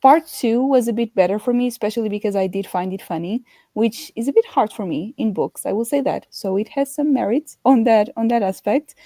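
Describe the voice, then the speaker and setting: A woman's voice: on the high side, brisk, few pauses, dark tone, dry recording. A woman co-hosting a casual book discussion, speaking in a tentative, hedging way.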